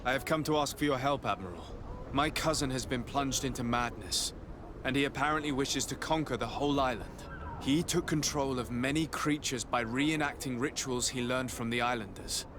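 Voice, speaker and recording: An actor playing an earnest young nobleman in a video game, speaking formally and gravely as a resolute envoy. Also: mid-range voice, normal speed, background noise, natural voice. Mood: firm persuasion. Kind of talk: dramatic video game dialogue.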